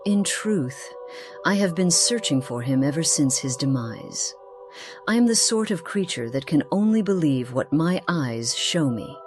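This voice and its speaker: Slow, low-voiced ageless noblewoman, secretive and refined, played by a woman. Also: animated, a little mellow, soft background music. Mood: mournful, wistful. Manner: formal, measured, theatrical pauses. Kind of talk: scripted game character dialogue.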